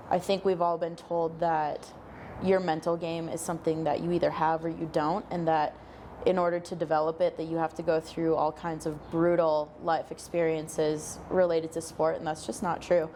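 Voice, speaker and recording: A woman's voice: low voice, a little mellow, a little hiss or hum. A young woman from Minnesota, an interview guest explaining her coaching work articulately, often with quoted examples. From Minnesota, United States. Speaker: guest, sports psychology coach.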